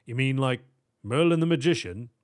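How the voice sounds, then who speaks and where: A man's voice: medium pitch, expressive, moderate pace, lightly acted. A man voicing a wary bank manager in a storybook, blunt and incredulous.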